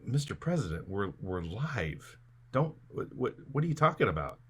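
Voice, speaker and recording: A man's voice: lowish voice, very animated, normal speed, fairly bright tone, husky. A man hosting a casual comic interview, playing along and relaying questions from viewers in the chat. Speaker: live-stream show host.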